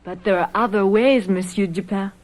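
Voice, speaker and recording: An actress in a radio mystery drama playing a coquettish, scheming young fiancée with a coy, sly manner. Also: female voice, mid-range voice, steady tone, moderate pace, a little mellow, lightly acted, poor audio.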